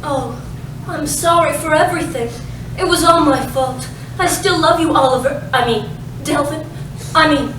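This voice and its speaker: Woman playing a high-pitched noir damsel, very echoey. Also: normal speed, a bit bright. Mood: apologetic. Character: dramatic, ditzy femme fatale client, sweetly apologetic woman. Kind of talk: comedic staged reading, film noir parody. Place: live staged reading in a roomy space. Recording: very echoey noisy room, distant mic.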